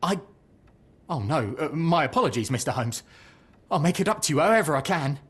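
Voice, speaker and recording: A timid, husky bookseller. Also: male voice, fairly high pitch, very animated, brisk, a bit bright, full character voice. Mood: flustered. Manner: hesitant, meek, flustered. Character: timid, apologetic, lovelorn male bookseller. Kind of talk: video game cutscene acting.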